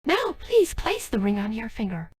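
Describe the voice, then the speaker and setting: A woman's voice: on the high side, huge pitch swings, normal speed, darkish tone, slightly rough, no room sound, clean studio sound, heavily acted. A synthetic female voice playing an earnest, formal young genie of a magic ring in a dubbed game cutscene. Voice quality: synthetic text-to-speech voice. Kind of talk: dubbed game cutscene dialogue by text-to-speech.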